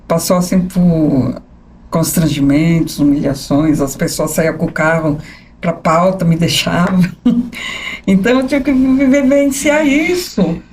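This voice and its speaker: A woman in her sixties with a São Paulo accent, a journalist telling her life story as a podcast guest, animated and often asking "né?". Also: lowish voice, unhurried, a little hiss or hum. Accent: São Paulo capital Portuguese. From São Paulo state. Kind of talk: conversational interview storytelling.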